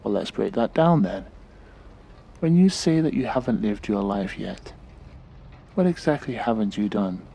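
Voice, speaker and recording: Guy playing a calm probing shrink in an audio drama, lowish mellow voice, very polite and clinical. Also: gravelly, husky, exaggerated voice. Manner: measured, polite, clinical questioning. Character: calm, probing, patient male therapist.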